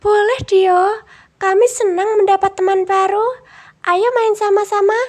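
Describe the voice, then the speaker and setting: A woman's voice: very high-pitched, fairly even intonation, measured pace, pitched above the performer's own voice. A woman voicing a cute, friendly talking animal from a children's storybook, eager and sing-song. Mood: cheerful, welcoming.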